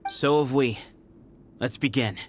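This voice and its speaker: An actor playing a proud, self-assured young duelist in an anime video game, confident and dismissive, with faint music behind. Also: male voice, mid-range voice, a little slow, slight background noise, close to an everyday voice. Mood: cocky.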